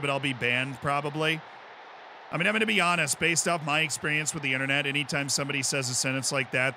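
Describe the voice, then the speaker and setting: An American man streaming casually while he plays, explaining things in an animated, rambling way and often checking in with "right?". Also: on the high side, slight background noise. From United States. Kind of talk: casual chat while playing.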